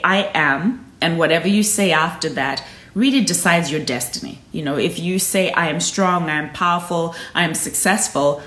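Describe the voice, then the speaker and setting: A woman's voice: on the low side, fairly bright tone. A woman in her thirties from Zimbabwe, a Shona speaker, hosting a live stream from a room at home into a phone held at arm's length, talking earnestly and encouraging her viewers. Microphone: phone camera at arm's length. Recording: echoey room.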